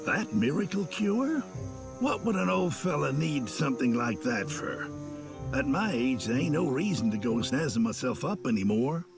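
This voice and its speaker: Casting: elderly widower for a game, folksy drawl, sentimental, swinging intonation, music underneath. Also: male voice, medium pitch, lively intonation, normal speed, gravelly. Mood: folksy, confiding. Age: older. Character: folksy old country widower, friendly and sentimental. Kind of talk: video game character dialogue.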